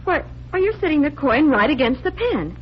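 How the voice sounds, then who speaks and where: Casting: curious, playful female sidekick, eager questioner, 1940s radio acting, bouncy highish voice, dull vintage recording. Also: sing-song, moderate pace, slight background noise. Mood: eager, puzzled. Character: curious, perky female magician's companion, playfully skeptical.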